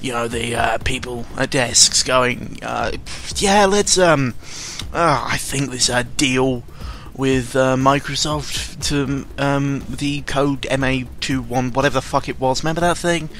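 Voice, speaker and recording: A young man giving casual, unscripted commentary in a rambling, halting way, often interrupting himself, with a sarcastic, self-deprecating and exasperated manner. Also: medium pitch, fairly bright tone, slight background noise. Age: young adult. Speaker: YouTuber recording an audio log. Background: background music.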